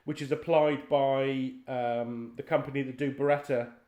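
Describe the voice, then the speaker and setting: A man's voice: mid-range voice, steady tone, normal speed, darkish tone, dull audio. Echoey-sounding man asking interview questions. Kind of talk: conversational interview.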